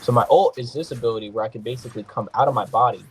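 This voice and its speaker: A man's voice: medium pitch, very fast, soft background music. Male gaming host, slightly nasal, loose and jokey, trash-talks his opponents. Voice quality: sometimes nasal. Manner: loose, joking, slangy trash talk. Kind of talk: casual gameplay commentary.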